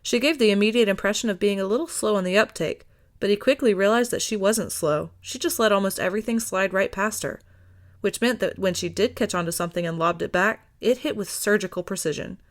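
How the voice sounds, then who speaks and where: Woman, audiobook narrator, scripted story reading, medium pitch. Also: a little fast.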